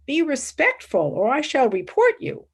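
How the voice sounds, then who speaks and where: A woman playing an earnest, principled young philosopher and wartime nurse in a radio play, with measured, emphatic delivery. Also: sits high, wildly swooping pitch. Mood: indignant. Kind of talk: dramatic radio play acting.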